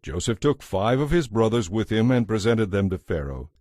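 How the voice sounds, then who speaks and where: A man narrating scripture from a script in a measured, solemn manner. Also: fairly low pitch, sing-song, darkish tone, no echo, studio quality. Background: music.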